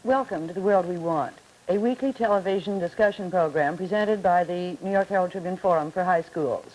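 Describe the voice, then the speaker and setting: A woman moderating a 1950s television discussion, asking students brisk, polite questions on old television audio. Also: fairly low pitch, a little mellow, rough recording.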